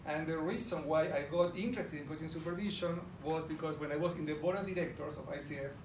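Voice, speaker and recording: Argentine man presenting in an echoey room. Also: fairly high pitch. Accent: Argentine Spanish. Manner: warm, enthusiastic, addresses the audience directly. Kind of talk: conference presentation to a live audience. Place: large room with an audience. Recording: roomy, distant audio with background noise.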